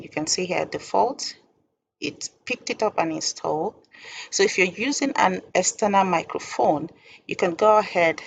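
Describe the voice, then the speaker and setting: A woman with a low, creaky voice walks through a software tutorial step by step. Her delivery is informal, halting and deliberate, over faint background noise.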